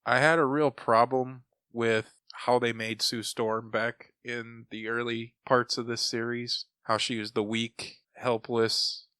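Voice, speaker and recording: A man's voice: mid-range voice, little pitch movement, slow, few pauses, bright, forceful tone, clean tone, no echo, clean studio sound. A man in casual podcast conversation, giving his opinions as a panelist. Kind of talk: casual chat, critique.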